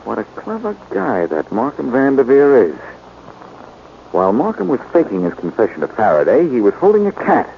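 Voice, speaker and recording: An actor playing a quick-witted, breezy amateur detective with wry self-assurance in a 1940s radio drama. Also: male voice, medium pitch, wildly swooping pitch, creaky. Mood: excited. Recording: muffled, hissy 1940s radio recording.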